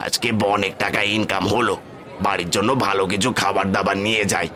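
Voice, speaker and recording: A man's voice: lowish voice, little pitch movement, fast talker, loud music behind the voice, natural voice. A man playing a poor, devoted village fisherman husband in a cartoon. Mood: pleased. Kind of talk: cartoon voice acting.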